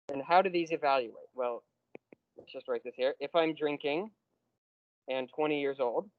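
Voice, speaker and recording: A man teaching a university math class, explaining step by step and slowing down on key terms. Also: fairly high pitch, no echo, phone-quality sound. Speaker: math lecturer. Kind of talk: classroom lecture.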